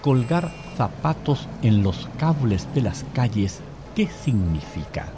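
A man's voice: mid-range voice, sing-song, slow pace, over music. A man narrating a short scripted informational radio segment in a matter-of-fact, explanatory way.

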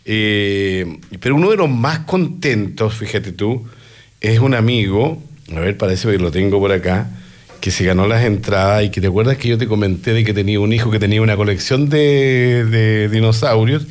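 A man's voice: on the low side, normal speed. A man hosting a radio show, chatting casually and joking with his guests. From Chile. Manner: chatty, jokey, rambling with restarts. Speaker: radio host.

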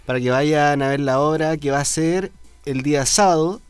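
A man hosting a local broadcast interview, asking brisk, chatty questions. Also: a little high, clear, resonant tone, no echo, faint music behind. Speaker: interviewer, host.

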